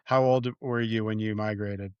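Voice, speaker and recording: A man's voice: on the low side, fairly even intonation, moderate pace, smooth, no echo. Man hosting, dull lo-fi sound. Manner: friendly, loose, trailing restarts. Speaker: podcast host, dentist. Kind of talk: casual interview talk.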